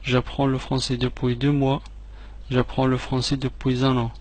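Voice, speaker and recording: A man teaching French phrases, repeating each one slowly and clearly, with quick asides in between. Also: medium pitch, completely flat delivery, a little mellow, no echo. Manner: slow, clearly separated repetitions, quick asides in another language. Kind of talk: repeat-after-me language drill.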